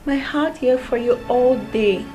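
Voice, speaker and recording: A woman's voice: high-pitched, measured pace, music in the background. An actress playing a young woman who is in love with a man who rejects her and who pleads for his affection. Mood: pleading. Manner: pleading, emotional.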